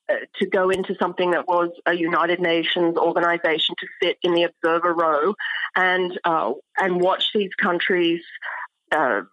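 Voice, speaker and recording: Middle-aged female scholar as interview guest: lowish voice, measured and articulate, dry but slightly muffled recording. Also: dry recording. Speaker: interview guest, archaeology professor. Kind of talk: thoughtful academic interview talk.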